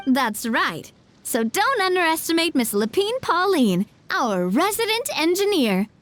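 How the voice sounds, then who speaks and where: A gracious young company president for a video game. Her voice is high and melodic, her manner polite, composed and lightly teasing. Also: very animated, measured pace, a bit bright, exaggerated voice. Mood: businesslike. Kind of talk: animated video game acting.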